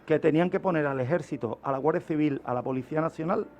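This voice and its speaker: A middle-aged man with an accent from Spain and a fairly high voice. He talks as an expert on the radio, laying out points in short, emphatic phrases and turning to examples. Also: quick pace, darkish tone, lo-fi sound. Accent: Spanish from Spain. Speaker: criminologist guest expert. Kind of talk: explanatory radio talk.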